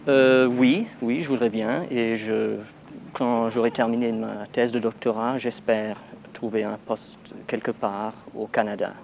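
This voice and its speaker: A man's voice: mid-range voice, steady tone, unhurried, gravelly, darkish tone. A man from England, a graduate student, answering an interviewer's questions with many hesitations and fillers. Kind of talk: archival radio interview answers. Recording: dull 1960s radio archive, faint hiss.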